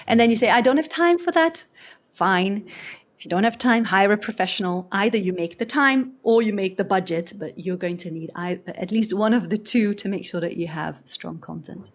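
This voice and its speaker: Woman from Belgium, non-native English, event host, animated storyteller, slightly dull recording. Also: medium pitch, normal speed. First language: not English. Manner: animated, acts out quotes with restarts. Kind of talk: conversational presentation.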